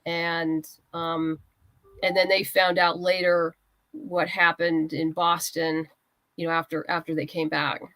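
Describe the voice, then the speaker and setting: A woman's voice: lowish voice, little pitch movement, measured pace, a bit bright, no echo, rough recording. A woman speaking casually as a guest writer in an interview, explaining her creative process and acting out little asides.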